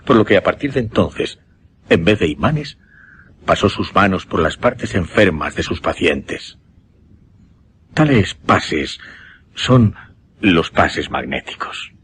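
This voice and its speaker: An actor playing a solemn hypnotist recounting a macabre experiment in a Spanish radio drama, with a Castilian accent and measured, dramatic pauses. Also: male voice, low-pitched, lively intonation, fairly bright tone, slight background noise, full character voice. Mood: lecturing, earnest.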